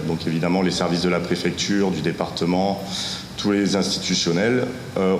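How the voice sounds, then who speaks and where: A man giving a semi-improvised technical presentation as a local official, with halting delivery, frequent hesitations and self-corrections. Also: low voice. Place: conference room at a technical seminar. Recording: echoey room, distant ambient noise.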